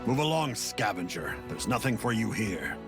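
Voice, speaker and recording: An actor voicing a noble, stoic warrior prince for a video game, formal and resolute in a declamatory, commanding way. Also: male voice, on the low side, sing-song, moderate pace, over music. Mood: stern, disdainful.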